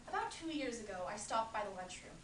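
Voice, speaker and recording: A woman's voice: on the high side, very animated, fast, fairly bright tone, slight background noise. A woman playing a young woman who recounts an odd affair with dry amusement, recorded from a distance in a roomy space. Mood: wry. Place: room at a performance.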